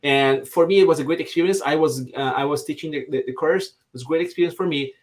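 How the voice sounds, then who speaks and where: A man's voice: on the high side, quick pace. A man from Brazil whose first language is Portuguese, speaking as a podcast guest with enthusiasm, often repeating words as he searches for phrasing. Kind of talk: casual podcast discussion.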